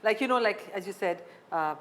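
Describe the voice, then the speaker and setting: A woman's voice: medium pitch, expressive, a little fast. A woman interviewing a guest in a conversational style. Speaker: interviewer, journalist. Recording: faint background noise.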